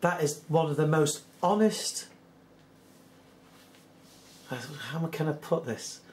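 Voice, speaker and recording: A hoarse British man hosting a solo commentary, reading out news articles in an earnest, skeptical, measured way. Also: a little high, a little mellow. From United Kingdom. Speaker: channel host.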